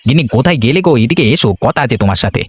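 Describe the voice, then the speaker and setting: A man's voice: mid-range voice, expressive, very fast, husky. A man voicing an honest, bewildered, henpecked village husband in a cartoon, plain-spoken and protesting. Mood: eager. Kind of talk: Bengali cartoon voice acting.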